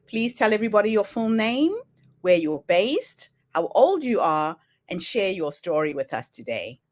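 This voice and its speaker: A woman hosting a podcast, opening the show and welcoming her guest with repeated, warm greetings. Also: mid-range voice, sing-song, moderate pace, slight background noise. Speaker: podcast host. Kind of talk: podcast introduction.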